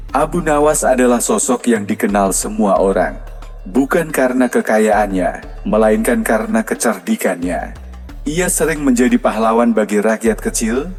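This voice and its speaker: A man's voice: lowish voice, darkish tone. A man narrates a folktale from a script as a storyteller. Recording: long reverb, music behind. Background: music.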